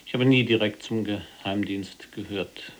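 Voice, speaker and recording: An actor playing a composed Polish resistance prisoner in a German radio drama, measured, dry and probing. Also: male voice, lowish voice, a little hiss or hum, lightly acted. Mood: calm probing.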